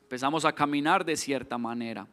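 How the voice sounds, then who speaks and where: A man's voice: mid-range voice, fairly quick, sharp tone, long reverb tail. A man in his thirties with a Colombian accent, preaching a sermon earnestly, often restarting words and building to emphatic questions.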